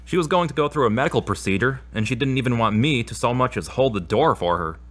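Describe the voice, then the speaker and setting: A man's voice: mid-range voice, lively intonation, quick pace, a bit bright. A man acting as a troubled, self-doubting therapy patient in a scripted audio drama, speaking in an earnest, confessional way. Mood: frustrated, uneasy. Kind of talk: scripted audio drama dialogue and spoken prayer.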